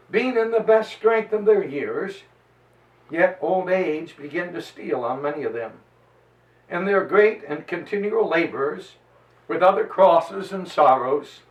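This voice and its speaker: A man giving a solemn, declamatory dramatized reading of an old historical text, with emphatic pauses. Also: high-pitched, lo-fi sound. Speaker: preacher reading a history aloud. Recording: echoey room, far from the mic.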